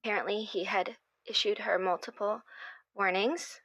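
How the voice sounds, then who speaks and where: A woman's voice: mid-range voice, steady tone, normal speed, a bit bright, no echo, poor audio. A woman playing an anxious, apologetic theatre organiser in a radio drama, speaking carefully and hesitantly. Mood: grave, uneasy. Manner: hesitant, careful, politely nervous. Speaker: radio show guest. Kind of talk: improvised actual-play radio show drama.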